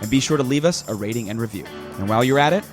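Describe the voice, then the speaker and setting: A man reading a scripted podcast outro with a brisk promotional delivery. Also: mid-range voice, lively intonation. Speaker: podcast announcer. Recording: voice over loud music.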